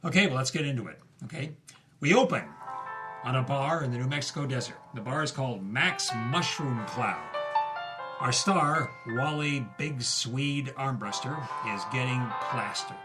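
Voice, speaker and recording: Man narrating over loud music. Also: medium pitch, moderate pace, poor audio. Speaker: show host and scene narrator. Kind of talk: casual hosting and storytelling narration.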